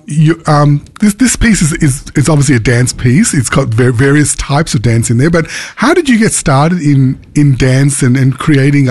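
A male radio presenter with a slightly husky voice. He interviews a guest in a halting way, with many stops and restarts. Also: mid-range voice, darkish tone.

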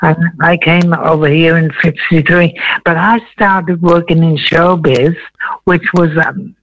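A man in his eighties from Wales, a veteran entertainer telling his story in a radio interview, slow and meandering with many ums. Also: fairly high pitch, level tone, highs cut off. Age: 80s.

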